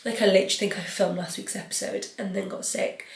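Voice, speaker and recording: A woman's voice: medium pitch, level tone, fast, gravelly, reverberant. Chatty woman with a stuffy cold. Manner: chatty, rambling, lots of "like" and "um". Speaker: podcast host. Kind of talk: casual solo podcast chat.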